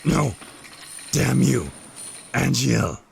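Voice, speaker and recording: Man acting a gravelly, vengeful archangel of death. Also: mid-range voice, lively intonation, very slow, slight background noise, exaggerated voice. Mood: enraged. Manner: menacing, contemptuous. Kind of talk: fantasy audio drama acting.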